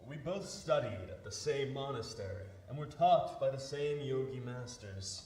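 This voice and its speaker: An actor playing a grim, righteous invisible crime fighter in 1930s radio drama style, measured and ominous. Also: male voice, mid-range voice, expressive, darkish tone, reverberant, recorded from a distance, slight background noise, natural voice. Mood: grave, commanding.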